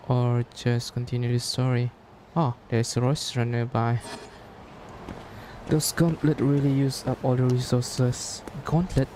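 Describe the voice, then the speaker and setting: Malaysian man, Malay first language, streaming commentary, slow pace, noisy room ambience behind. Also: medium pitch. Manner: thinking aloud, slow, humming to himself. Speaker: gameplay streamer. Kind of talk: casual live gameplay commentary.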